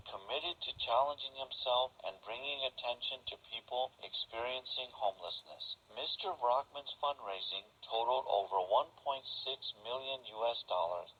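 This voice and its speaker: A man's voice: mid-range voice, breathy edge. A man presenting scripted news stories, heard on a muffled shortwave radio capture.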